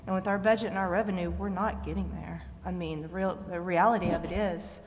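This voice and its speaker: Woman, council member, lowish pitch, plain-spoken, informal meeting remarks, hall reverb. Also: fairly even intonation, brisk. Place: large indoor council chamber.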